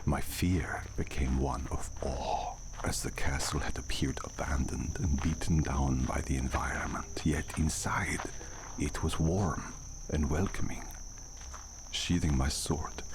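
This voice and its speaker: A man playing a courteous, brooding vampire count in an ASMR audio drama, speaking formally in a gravelly near-whisper. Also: very deep voice. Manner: formal, slow, measured speech. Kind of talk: ASMR roleplay acting. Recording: noisy, night crickets and outdoor ambience.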